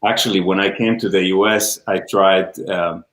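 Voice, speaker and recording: A man's voice: deep voice, steady tone, normal speed, a bit bright. A man from Israel with an Israeli accent, Hebrew his first language, speaking as a guest in a casual interview chat, hesitant with many ums and restarts. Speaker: music teacher and guest. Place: room, livestream. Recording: roomy echo.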